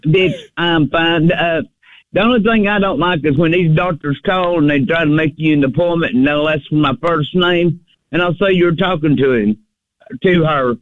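A woman with a deep Southern accent, often mistaken for a man, calling in to a radio show and answering bluntly. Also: normal speed. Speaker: caller. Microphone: phone. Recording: tinny phone line.

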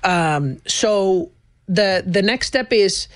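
Earnest high-voiced male guest from Venezuela. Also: animated, very slow, clear, resonant tone, no room sound, studio quality. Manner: earnest, explanatory. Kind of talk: interview answers.

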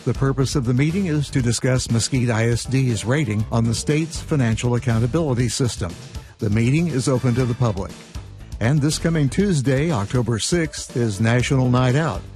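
A man reads out community event listings in a clear, even, scripted radio style. His voice sits at a medium pitch, and faint music plays underneath. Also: moderate pace. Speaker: community calendar announcer. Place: radio studio.

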